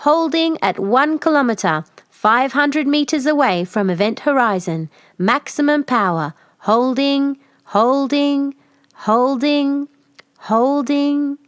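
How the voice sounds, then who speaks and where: A high-voiced computer aboard a storybook spaceship, acted out by a woman. It makes urgent announcements to its crew. The sound is dry and close. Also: slow pace, no echo. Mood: tense. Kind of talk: picture book character voice.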